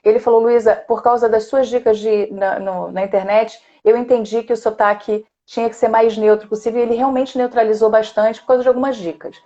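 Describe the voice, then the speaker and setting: A woman's voice: fairly high pitch, steady tone, fairly quick, hardly any pauses, mellow, lo-fi sound. A woman speech coach with a Rio accent. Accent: Rio de Janeiro Brazilian Portuguese. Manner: explanatory, demonstrates sounds, frequent "né?". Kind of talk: live online chat.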